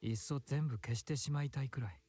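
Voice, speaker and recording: A male actor playing a soft-spoken, effeminate, anxious young man in a Japanese visual novel, with feminine phrasing and a hushed, pensive manner. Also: mid-range voice, very fast, slightly rough, no echo, natural voice. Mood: wistful, earnest. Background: game music.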